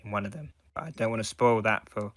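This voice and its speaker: Man, podcast co-host, lowish pitch, bright sharp tone, dry sound, halting casual chat. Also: dry recording. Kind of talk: casual fan review chat.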